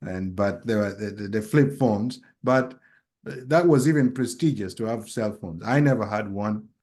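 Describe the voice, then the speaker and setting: Low-voiced man, hesitant and reflective. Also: a little mellow. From grew up in Kenya. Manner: hesitant, reflective, many pauses and fillers. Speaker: podcast co-host. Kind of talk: casual conversational podcast.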